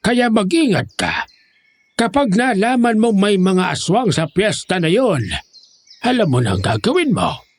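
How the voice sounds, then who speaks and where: A man playing a commanding monster-hunter chief, swooping pitch. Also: big pitch swings, a little slow, exaggerated voice. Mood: grave, commanding. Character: commanding leader of a secret monster-hunting brotherhood. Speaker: actor in an audio drama. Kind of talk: Tagalog horror audio drama acting.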